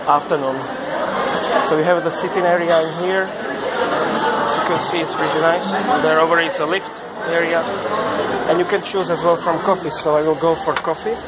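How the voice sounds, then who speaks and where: Male vlogger, walk-and-talk tour, relaxed chatty delivery with non-native phrasing; handheld mic, loud crowd chatter and clatter behind him. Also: fairly high pitch, normal speed, heavy background noise. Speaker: travel vlogger. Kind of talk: casual walking tour narration. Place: busy ship buffet restaurant, indoors. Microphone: handheld camera mic while walking.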